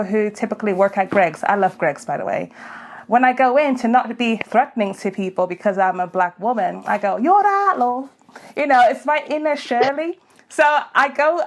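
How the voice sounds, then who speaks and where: Woman chatting away, lively, a bit high, laughs a lot. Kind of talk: candid conversation.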